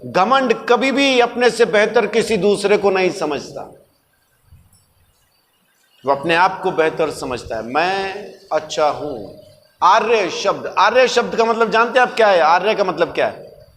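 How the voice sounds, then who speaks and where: Man, high-pitched voice, sermon, emphatic didactic delivery, rhetorical questions, reverberant hall. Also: expressive, unhurried, a bit bright. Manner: emphatic, didactic, repeats key words for weight. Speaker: preacher.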